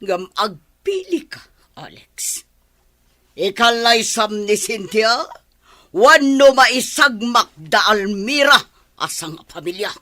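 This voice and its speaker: A woman's voice: a little high, expressive, pushed and bright, heavily acted. A woman playing an imperious, scheming rich mother in a radio drama, with a Filipino accent and a haughty, commanding manner. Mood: cold, commanding. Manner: haughty, commanding, drawn-out delivery. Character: imperious, scheming wealthy middle-aged mother, cold and domineering.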